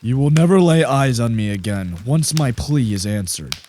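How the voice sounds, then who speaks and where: A man's voice: medium pitch, lively intonation, moderate pace, dark tone, a little hiss or hum, lightly acted. A man acting a lonely, vengeful monster in a radio play, speaking in a grave, formal, aggrieved way. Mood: vengeful, demanding. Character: lonely, vengeful male monster created by a scientist. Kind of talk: radio play narration and dialogue.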